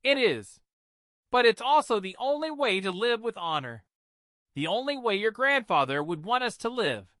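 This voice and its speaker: A proud, defiant blind mother, acted by a male storyteller, speaking with solemn emphasis. The pitch is lifted and bright, with a lively swing through each folktale line. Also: normal speed, no echo, clean studio sound, heavily acted. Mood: solemn resolve. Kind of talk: dramatised folktale narration.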